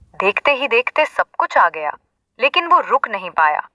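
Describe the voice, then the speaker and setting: A woman's voice: on the high side, big pitch swings, moderate pace, pushed and bright. A woman telling a moral folk tale as a scripted narration. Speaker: storyteller.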